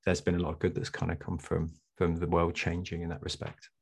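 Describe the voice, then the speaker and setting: Fast-talking deep-voiced male host. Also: monotone, creaky, husky. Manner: rapid, rambling, affirming. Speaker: podcast host. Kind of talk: casual interview chat.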